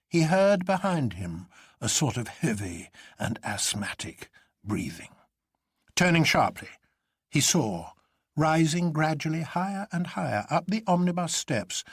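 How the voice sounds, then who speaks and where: A man narrating a literary novel as a dramatic audiobook, in an expressive, measured storytelling manner. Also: mid-range voice, vocal fry, slightly rough, no room sound, studio quality.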